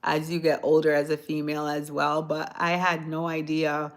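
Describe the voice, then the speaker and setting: A woman from Jamaica chatting on a phone livestream, answering viewers' comments in a soft-spoken, sometimes hoarse voice. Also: sits low, monotone, moderate pace. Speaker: livestream host. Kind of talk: casual livestream chat with viewers.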